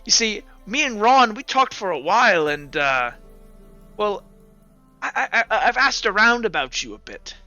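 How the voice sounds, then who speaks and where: Man voicing a high-pitched, taunting gambling guard. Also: sing-song, pushed and bright, muffled recording, faint music behind, full character voice. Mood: taunting, smug. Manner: taunting, halting, repeats himself. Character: sore-losing swaggering gambler and guard, cheating and taunting.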